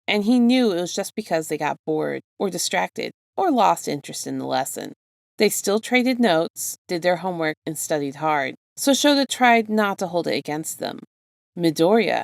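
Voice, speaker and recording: A woman reading fan fiction aloud as an expressive storybook narrator, close to the microphone. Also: sits low, vocal fry, dry recording.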